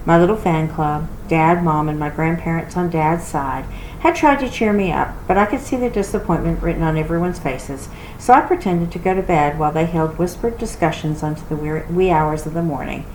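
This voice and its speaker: A woman giving an expressive storybook read-aloud, like a children's library reader. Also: low voice, fairly quick. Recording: roomy echo with clear background noise.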